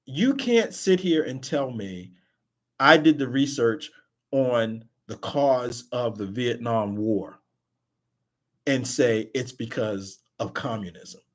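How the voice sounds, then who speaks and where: A man's voice: medium pitch, lively intonation, slow pace. A man hosting an online talk radio show, speaking emphatically in casual chat and often repeating words as he pushes a point. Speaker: host.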